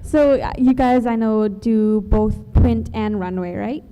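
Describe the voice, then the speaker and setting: A woman's voice: a little high, slow, warm tone, faint music behind. A young woman in her teens hosting a show with casual, chatty, bubbly interviewing. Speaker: show host.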